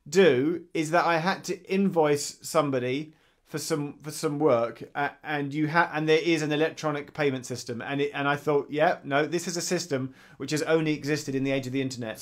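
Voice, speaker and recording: A man in his thirties co-hosts a relaxed podcast. His voice sits a little high, and he tells anecdotes lively, voicing his own past thoughts. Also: normal speed. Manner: animated storyteller, acts out his own thoughts. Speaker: podcast co-host. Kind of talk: casual chat.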